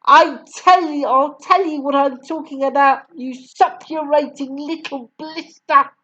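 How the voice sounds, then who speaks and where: A woman voicing a bullying, brawny headmistress who barks and shouts insults, pitched well above her own reading voice. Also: animated, slow pace, highs cut off, exaggerated voice. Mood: furious.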